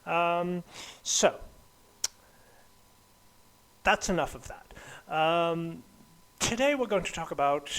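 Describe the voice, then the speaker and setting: A man with an affected, unconvincing English accent hosts a comedic podcast monologue in a pompous, halting way, often stammering and repeating words. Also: fairly high pitch, a bit bright, low-quality recording. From United States. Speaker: podcast host.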